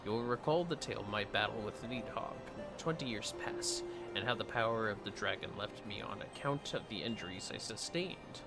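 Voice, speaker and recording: A man's voice: fairly low pitch, a little fast, over loud music, close to an everyday voice. A man voicing a solemn, aging retired knight, reading fantasy game dialogue in grave, formal, archaic phrasing. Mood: confessional, grave.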